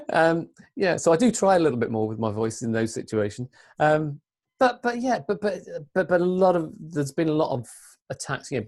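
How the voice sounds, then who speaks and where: A woman with a deep voice and an English accent, speaking earnestly as an interview guest, with frequent restarts and fillers. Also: darkish tone. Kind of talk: interview conversation.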